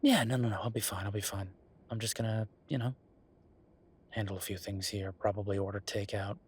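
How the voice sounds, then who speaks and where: Man acting a husky, easygoing werewolf boyfriend. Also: on the low side, brisk. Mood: caring, reassuring.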